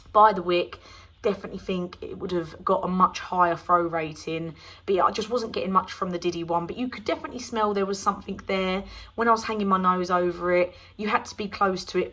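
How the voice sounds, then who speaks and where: British woman, slightly croaky, chatty. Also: lowish voice, a bit bright. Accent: British English. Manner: chatty, rambling with many ums. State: slightly croaky after laryngitis. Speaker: candle reviewer. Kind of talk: casual product review.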